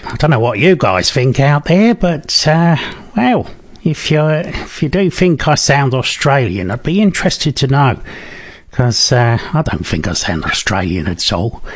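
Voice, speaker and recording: A man's voice: medium pitch, big pitch swings, measured pace, no room sound. English man presenting radio, dry close sound. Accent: English, London area. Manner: conversational, musing, tag questions. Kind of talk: casual chatty music-show presenting.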